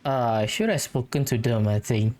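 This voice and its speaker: A woman's voice: a bass voice, moderate pace, dark tone. A woman giving casual, laid-back commentary while playing a video game. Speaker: gameplay commentator.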